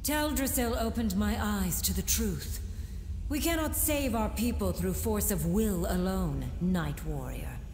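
Woman voicing fanatical elf villainess, deliberate theatrical delivery, medium pitch, echoey hall, fantasy game. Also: lively intonation, darkish tone, close to an everyday voice. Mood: cold, defiant. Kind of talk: dramatic fantasy game voice acting. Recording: echoey hall reverb, faint music behind.

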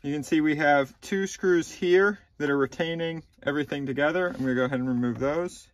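A man's voice: fairly high pitch, fairly quick. Methodical man explaining a car repair. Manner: plain, methodical instructions with frequent ums. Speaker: DIY repair channel host. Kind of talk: step-by-step repair tutorial. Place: inside a car, small enclosed cabin.

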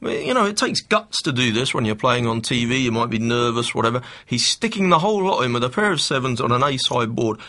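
A man's voice: medium pitch, sing-song, fairly quick, hardly any pauses, sharp tone. A man giving expert poker commentary, analytical and full of restarts. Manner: analytical, restarts and self-corrections.

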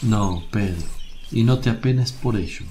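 A man acting a serene, affectionate master teacher in a religious radio drama, gentle, solemn and reassuring. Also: lowish voice, a little slow, close to an everyday voice. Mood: tender, solemn. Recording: noisy, birdsong ambience behind.

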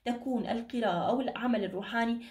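A woman's voice: a little high, rapid-fire, a little mellow. A woman gives an informal card reading as a channel host, reassuring her listeners with endearments and blessings in a slightly tired voice. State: tired, strained voice. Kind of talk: informal fortune-telling reading to camera. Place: indoor room at a table. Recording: echoey room, distant microphone.

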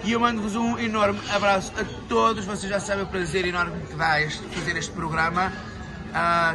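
A man in his forties with a European Portuguese accent presenting etiquette tips to camera in a casual, didactic, emphatic way. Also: sits high. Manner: didactic, emphatic with repeated words. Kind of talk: casual instructional monologue to camera. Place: open restaurant terrace by a beach. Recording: loud music and busy crowd noise behind.

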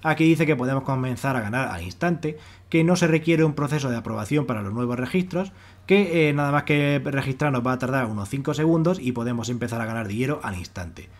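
A man with a Spain accent narrates a casual on-screen walkthrough. His voice sits at an average pitch for a man. He explains things in a wordy way, circling back and restating each point. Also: animated, a little fast. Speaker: YouTube presenter of money-making websites. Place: voice-over on a screen recording.

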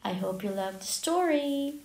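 Slow, echoey woman reading a storybook. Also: medium pitch, animated, a little mellow. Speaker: children's book reader, channel host. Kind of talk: storybook read-aloud for young children.

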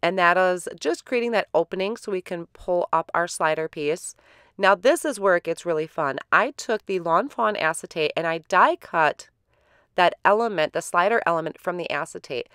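A woman's voice: lowish voice, moderate pace, smooth, dry recording, clean studio sound. Stuffy-nosed woman explaining a craft. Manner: relaxed, step-by-step explaining with fillers. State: stuffy from a head cold. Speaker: card-making tutorial host. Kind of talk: voice-over craft tutorial.

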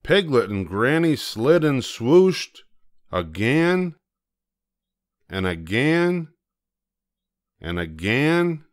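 An older man narrating a children's story expressively, with deliberate pauses for effect. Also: mid-range voice, big pitch swings, no room sound, studio quality.

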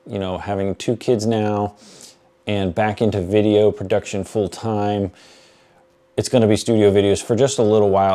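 Man, thirties, lowish pitch, mellow warm tone, casual vlog explainer, informal, matter-of-fact, lapel mic. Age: 30s. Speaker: YouTube creator, host. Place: small furnished home basement studio, indoors. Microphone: clip-on lapel mic.

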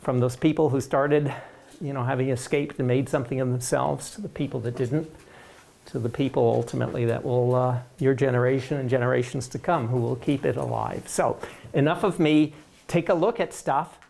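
A man's voice: medium pitch, fairly even intonation, fairly quick, gravelly, darkish tone. A man giving the closing remarks of his talk as a guest speaker, conversational and repeating his words.

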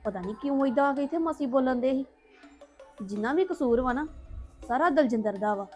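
A woman's voice: high-pitched, a little fast, soft background music, close to an everyday voice. A woman playing a defiant young woman. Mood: muttering. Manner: defiant, argumentative. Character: defiant young woman, quick to argue back. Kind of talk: Punjabi family drama.

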